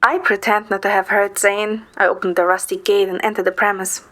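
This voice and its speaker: A woman's voice: mid-range voice, little pitch movement, brisk, a bit bright, lightly acted. A woman narrating an audio drama in the first person as a composed, observant young woman. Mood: composed, descriptive.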